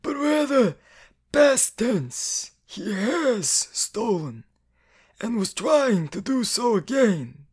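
An actor as grave older male commander, very husky, slow deliberate phrases, formal, fantasy radio drama. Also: mid-range voice, sing-song, darkish tone, full character voice. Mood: grave, measured. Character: grave, measured older male guardsmith boss.

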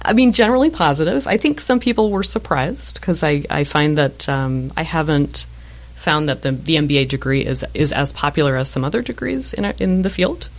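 A woman in her thirties with a low voice, answering interview questions in a relaxed, thoughtful way with frequent fillers and restarts. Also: a little fast. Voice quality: low-pitched voice. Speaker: museum voice-over artist and guest.

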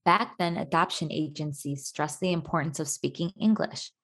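A woman's voice: low-pitched, normal speed, a bit bright. A woman reading scripted documentary narration about other people's personal stories.

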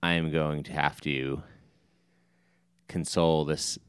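Man in his thirties, podcast co-host: very deep, smooth, dry close sound, easygoing storyteller. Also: little pitch movement, slow, no echo. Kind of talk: casual chat.

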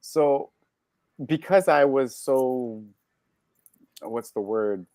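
A man with a Canadian accent, a podcast guest telling personal stories candidly, halting and correcting himself in an animated way. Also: mid-range voice, no room sound, studio quality. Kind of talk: candid personal storytelling in conversation.

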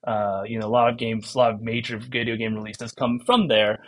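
A man's voice: mid-range voice, steady tone, a little fast, a bit bright. A man from South Korea, a games writer guesting on a podcast, talking casually with many restarts and fillers.

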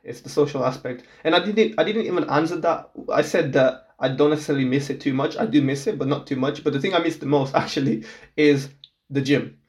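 A young man from Portugal in a casual chat, relaxed, laughing often and repeating words as he starts his sentences. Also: on the high side, fairly quick.

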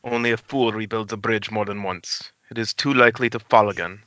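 Guy playing wounded sardonic cameraman in a script read, super piercing bright voice, muffled call audio. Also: on the low side, steady tone, brisk. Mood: cold, bitter. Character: bitter, coldly sardonic injured cameraman. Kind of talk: amateur screenplay table read over video call. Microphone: internet video call. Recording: dull lo-fi internet call.

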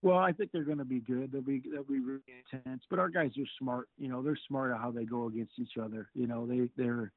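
A middle-aged football coach answers questions over a tinny, phone-like line that sounds completely dry. He talks in halting bursts and keeps repeating words, but stays upbeat. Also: mid-range voice, fairly even intonation, no echo. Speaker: football defensive coordinator. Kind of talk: interview answers.

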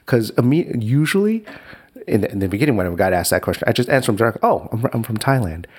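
A man's voice: mid-range voice, very animated, quick pace, a little mellow, breathy edge. A man hosting a casual conversation, telling stories animatedly, often laughing and restarting his sentences. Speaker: podcast host.

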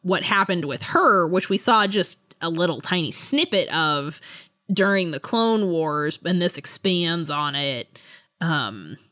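Woman with a very lively, lowish voice. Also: takes it slowly. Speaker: podcast host. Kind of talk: casual book discussion.

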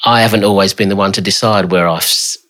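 A man's voice: low voice, fairly even intonation, quick pace, a bit bright. A middle-aged man with an English accent, hosting a conversational family interview and speaking thoughtfully with frequent restarts. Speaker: podcast host.

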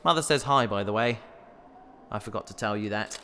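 A man's voice: on the low side, brisk, bright, forceful tone. A man playing an eager, polite and pedantic researcher exploring in a sci-fi audio drama. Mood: mildly defensive. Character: eager, bookish male researcher explorer, polite and mild-mannered. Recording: long cave reverb, faint noise and ambience.

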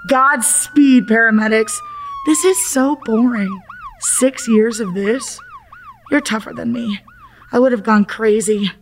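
A woman plays a confused accident victim stuck in an afterlife waiting room. The voice is high and unhurried, with sarcastic, slangy exasperation in audio drama style. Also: wildly swooping pitch, slight background noise, heavily acted. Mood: bored, sarcastic.

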